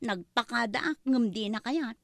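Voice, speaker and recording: A woman's voice: on the high side, normal speed, gravelly, a bit bright, no room sound, clean studio sound. A woman with an Ilocano accent playing a plaintive, headstrong young woman in a comedy-drama. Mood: earnest, pleading.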